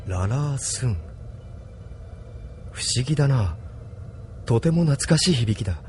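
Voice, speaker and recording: A man playing a thoughtful, homesick young resistance leader in an audio drama, soft-spoken and reflective. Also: medium pitch, lively intonation, unhurried, soft background music, lightly acted. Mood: pensive. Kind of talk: anime-style audio drama acting.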